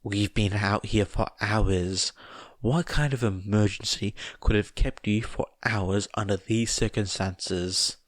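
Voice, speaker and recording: A man's voice: lowish voice, steady tone, takes it slowly, no room sound. A man voicing an impatient, officious male government investigator in an audiobook.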